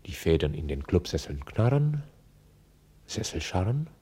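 Radio drama narrator: formal minute-taker's delivery, on the deep side, highly expressive pitch movement. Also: male voice, measured pace, slight background noise. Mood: formal, animated.

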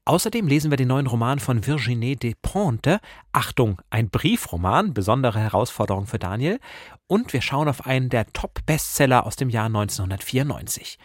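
Clear-voiced man hosting, close and dry. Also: mid-range voice, very animated, normal speed, dry recording. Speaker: podcast host. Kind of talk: chatty literary podcast presenting.

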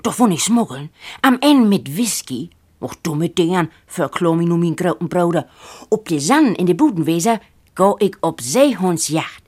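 Woman voicing a boastful, scheming boy, lively and husky. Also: lowish voice, moderate pace. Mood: excited, bragging. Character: boastful, scheming boy planning a seal hunt. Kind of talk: dramatic story reading.

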